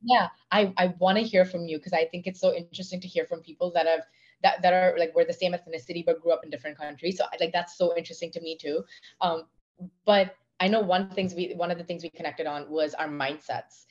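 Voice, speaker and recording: A woman's voice: on the low side, fairly even intonation, brisk. A young woman in her twenties from India with an Indian accent, speaking as a podcast guest in reflective, winding sentences with many fillers. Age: 20s. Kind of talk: casual conversation. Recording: dull lo-fi audio.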